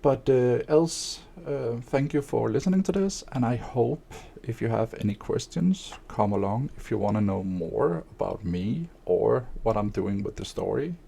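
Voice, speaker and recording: A man speaking slowly and evenly in a monotone, with a gravelly, husky low voice. His unhurried, hesitant monologue is dark and mellow in tone. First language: Danish. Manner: hesitant, many fillers. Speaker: streamer and aspiring author. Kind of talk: casual spoken monologue.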